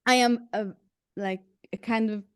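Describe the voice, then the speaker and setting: A woman's voice: on the high side, steady tone, unhurried, clean tone, dry recording, clean studio sound. A woman from Hungary with an English accent mixed from Hungarian and German, chatting as an interview guest, laughing often and saying "like" a lot. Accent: mix of Hungarian and German. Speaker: guest musician. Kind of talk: casual interview chat.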